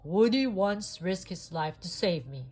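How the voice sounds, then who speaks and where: A woman's voice: on the low side, lively intonation, unhurried, a little mellow, husky. A woman voicing a brave, loyal male space ranger toy in an emphatic, heroic manner for a storybook read-aloud. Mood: determined.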